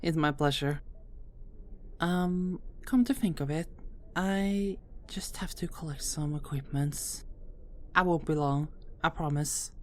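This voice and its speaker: A woman playing a patient, reassuring medical caregiver in an audio roleplay, speaking in a gentle, measured way. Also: low voice, slight background noise. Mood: kind, polite.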